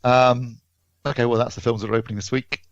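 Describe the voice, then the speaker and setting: A man co-hosting a podcast, chatting casually and haltingly about films, thinking aloud as he goes. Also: medium pitch, flat delivery. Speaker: podcast co-host and film reviewer. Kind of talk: casual film release chat. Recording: dull, roomy lo-fi podcast audio.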